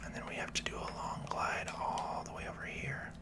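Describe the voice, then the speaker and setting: A person whispering casual, unhurried commentary for an ASMR video game playthrough, in a soft, breathy, unvoiced voice.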